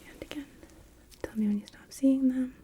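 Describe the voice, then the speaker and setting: A woman playing a gentle, unhurried hospital nurse in an ASMR roleplay, mostly whispering soft, soothing, reassuring instructions to the listener as patient. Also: high voice, fairly even intonation, a little hiss or hum, close to an everyday voice. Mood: soothing, attentive.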